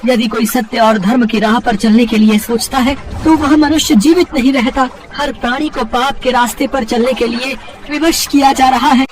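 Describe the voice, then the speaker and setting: A man playing a desperate god who pleads with urgent, shrill declamation in a mythological story dramatization. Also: fairly even intonation, moderate pace, hardly any pauses, recorded from a distance, faint music behind, poor audio. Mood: frantic pleading. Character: desperate god pleading against a demon king's terror.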